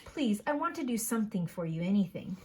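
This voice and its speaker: A woman's voice: mid-range voice, very animated, fairly quick, a little mellow, close to an everyday voice. Woman reading as a chirpy mother bird. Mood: grateful. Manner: chirpy, effusive. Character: grateful mother bird, nervous, warm-hearted, insistent.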